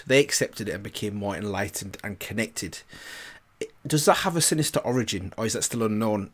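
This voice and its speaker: Fast-talking man with a husky voice. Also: on the low side. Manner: rambling, questioning. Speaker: reaction video host. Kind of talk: casual reaction commentary.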